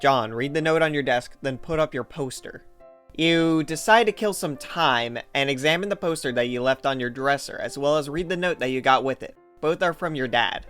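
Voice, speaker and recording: A man's voice: mid-range voice, moderate pace, a bit bright, clear, resonant tone. A man reading scripted second-person story narration as the narrator of a fan drama. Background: music.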